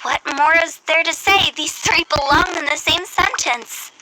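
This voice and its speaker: A woman's voice: high-pitched, unhurried, heavy background noise. A woman voicing a mischievous, theatrical young female funeral director in a video game, with playful, cheerfully morbid sales patter and game music behind her. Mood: pointed, sly. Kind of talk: video game character acting.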